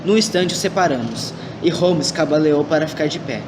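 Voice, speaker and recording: A man's voice: on the high side, animated, normal speed, natural voice. A man narrating a radio drama as the detective's companion, reading earnestly and steadily. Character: male companion narrating the case. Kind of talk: scripted storytelling narration. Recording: distant mic with loud noise.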